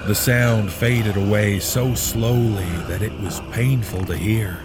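A man narrating a horror story in a measured, grave, ominous delivery. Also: fairly low pitch, fairly even intonation, background noise. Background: background music.

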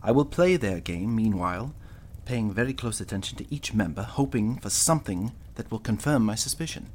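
An actor playing an anxious servant reading out his secret confession in a radio mystery, in a grave, measured way. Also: fairly low pitch, lively intonation, a little mellow, a little hiss or hum, natural voice. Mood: grave, resolute. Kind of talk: radio mystery drama, narrated written confession.

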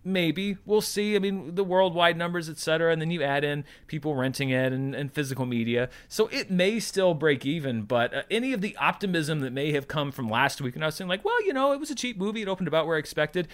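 A man's voice: a little high, animated, quick pace, hardly any pauses, fairly bright tone, clean tone. A man hosting a show, giving casual, conversational commentary with wry asides. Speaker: podcast host. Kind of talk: casual box office commentary.

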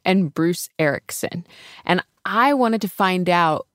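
Low-pitched woman, conversational radio storyteller. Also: lively intonation, takes it slowly, fairly bright tone, dry recording, studio quality. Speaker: radio science correspondent and reporter.

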